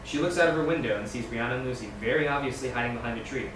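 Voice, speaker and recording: Casting: male reader of stage directions, quick scripted narration, far from mic in a noisy room. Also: medium pitch. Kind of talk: scripted narration at table read. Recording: distant, very echoey room with background noise.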